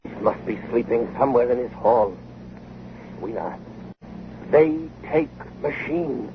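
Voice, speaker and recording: A man's voice: medium pitch, animated, unhurried, lightly acted. An actor playing an intense, restless male inventor, with insistent theatrical delivery in an old-time radio drama. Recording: noisy, muffled vintage radio recording.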